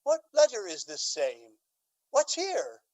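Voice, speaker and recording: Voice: wildly swooping pitch, slow pace, darkish tone, heavily acted. An actor playing a wrathful, imperious duke and outraged father in a Shakespearean radio drama, declamatory and scornful. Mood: haughty, suspicious. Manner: declamatory, scornful, booming when angered.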